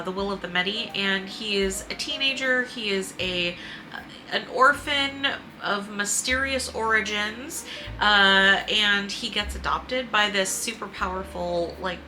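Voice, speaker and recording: A woman talking casually in a book review vlog, informal and a bit halting, often correcting herself and swearing mildly. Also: medium pitch, pushed and bright, a little hiss or hum, rough recording. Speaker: book reviewer and video host.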